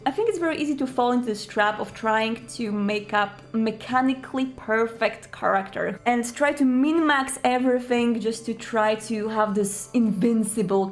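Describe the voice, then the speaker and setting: Higher-pitched woman giving explanatory advice. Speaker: video presenter giving roleplaying tips.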